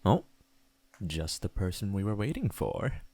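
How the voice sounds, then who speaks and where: A businesslike studio colleague acted by a man in a motion comic. His voice is low and measured, with a dry, echo-free sound. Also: very animated, mellow. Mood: composed, polite.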